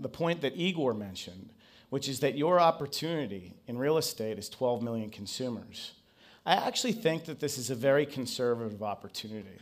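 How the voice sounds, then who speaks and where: Man giving an engaging business talk. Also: mid-range voice. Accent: non-Brazilian English accent. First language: English. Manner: engaging, asks the audience to raise hands. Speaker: conference speaker. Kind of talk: business presentation to an audience. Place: conference stage.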